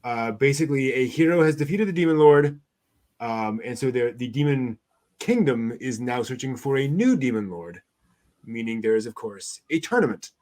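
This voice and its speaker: A man hosting an anime news show, chatting casually through items with a somewhat halting delivery. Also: mid-range voice, expressive, darkish tone.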